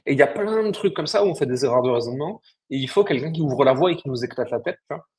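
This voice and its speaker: Fast-talking blunt Frenchman. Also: medium pitch, lively intonation, a little mellow. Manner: brisk, blunt, slangy explanations. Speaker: podcast co-host, agency founder. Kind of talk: casual business chat.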